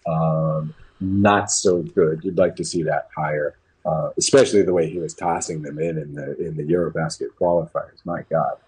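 American male basketball pundit, low register, very rough-edged husky voice, opinionated, conversational. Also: steady tone, moderate pace, a little mellow, poor audio. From New England, United States. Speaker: basketball commentator. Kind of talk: casual sports talk.

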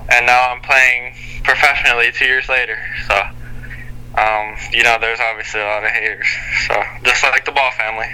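A man's voice: mid-range voice, fairly even intonation, pushed and bright, clean tone, rough recording. A young Canadian man, a basketball player, giving interview answers full of fillers and restarts. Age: young adult. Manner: halting, many fillers and restarts.

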